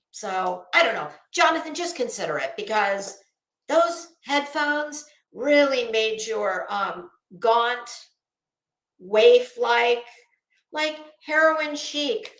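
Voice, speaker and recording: A woman giving rambling, casual online commentary in a sarcastic, mocking manner, with halting delivery and drawn-out pauses. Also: on the high side, fairly bright tone. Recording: roomy, echoey sound.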